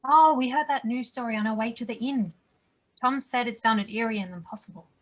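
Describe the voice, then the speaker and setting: A woman playing a calm, matter-of-fact survivor in a scripted table read. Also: a little high, brisk, close to an everyday voice.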